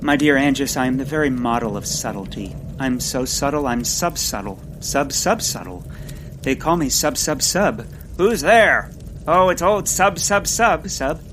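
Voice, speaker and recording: A clumsy, lovestruck male naturalist played by a man in a comedic audio drama. He stammers through florid phrases, and his excitable voice leaps wildly up and down. There is clear background noise and ambience around him. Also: medium pitch, a little slow, lightly acted. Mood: boastful. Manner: stammering, florid, swinging into squeals.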